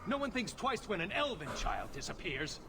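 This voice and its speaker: A man's voice: high-pitched, very animated, moderate pace, creaky, background noise, full character voice. A man voicing a grieving, bitter elven merchant father in a video game, pleading and indignant over injustice. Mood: desperate, pleading. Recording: music behind the dialogue.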